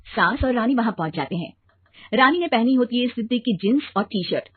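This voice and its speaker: A woman's voice: a little high, lively intonation, brisk, husky, no room sound. Woman storyteller in dry, phone-like sound. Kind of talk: scripted story narration.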